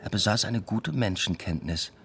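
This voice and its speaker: A man's voice: on the low side, animated, normal speed, gravelly, a bit bright, slight background noise. An actor playing a weary, introspective male academic, narrating a radio play in a dry, reflective, understated way. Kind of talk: literary first-person narration in a radio play.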